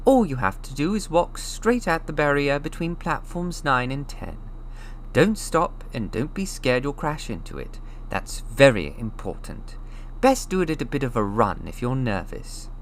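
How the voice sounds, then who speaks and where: A man voicing a plump, kindly middle-aged mother in an audiobook, brisk, motherly and reassuring. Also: mid-range voice, sing-song, slight background noise, pitched above the performer's own voice. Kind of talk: audiobook character voice.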